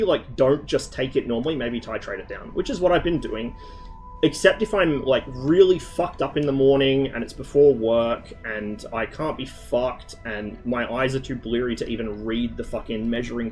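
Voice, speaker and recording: Rambling, swearing man chatting to viewers. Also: medium pitch. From Australia. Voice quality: mild speech impediment on L sounds. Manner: rambling, swears a lot, jumps between tangents. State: lingering cough. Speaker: streamer. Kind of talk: casual rambling chat with stream viewers.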